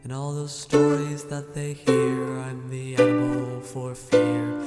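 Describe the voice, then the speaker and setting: A woman's voice: extremely low pitch, completely flat delivery, takes it slowly. A woman singing as a lonely, feared wolf in an audio drama, over plucked guitar. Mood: rueful. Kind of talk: slow sung musical number in an audio drama. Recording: echoey, reverberant, with guitar. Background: plucked guitar accompaniment.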